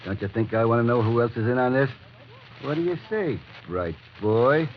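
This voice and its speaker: A man's voice: medium pitch, lively intonation, moderate pace, slight background noise, natural voice. Man playing a sneering, menacing gangster boss, muffled old radio. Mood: threatening. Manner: tough slangy talk, sneering taunts. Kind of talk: 1940s radio crime drama acting. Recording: muffled old radio broadcast, loud music behind.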